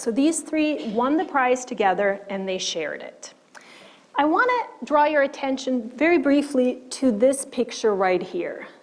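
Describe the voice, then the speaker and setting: A middle-aged woman who grew up in Switzerland with German as her first language, giving a measured public lecture on the history of science with slides and some fillers. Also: on the high side. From German-speaking Switzerland. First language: Swiss German. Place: lecture hall.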